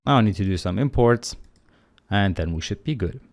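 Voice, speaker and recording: A man teaching programming in a screencast tutorial, calmly explaining the code step by step in a relaxed, conversational way. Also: lowish voice, moderate pace. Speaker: programming instructor.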